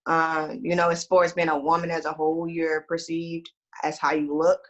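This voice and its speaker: A woman's voice: sits low, little pitch movement, normal speed, dull audio. A woman in her late twenties with a Texas accent, talking candidly and firmly in casual conversation. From Houston, Texas.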